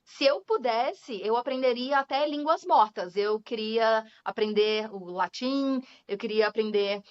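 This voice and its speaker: Brazilian woman, young adult, English teacher, chatty guest, slightly high voice, dull recording. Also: measured pace, clear, resonant tone. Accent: Brazilian Portuguese. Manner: chatty, laughs often, drops English words into her talk. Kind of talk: casual interview chat. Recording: dull lo-fi sound.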